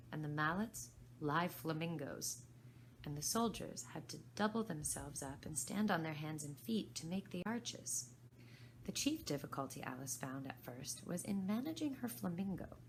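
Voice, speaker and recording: A woman reads a children's story aloud in a low voice with a creaky edge. She narrates as a volunteer reader, with some noise behind her. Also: animated, unhurried, rough recording.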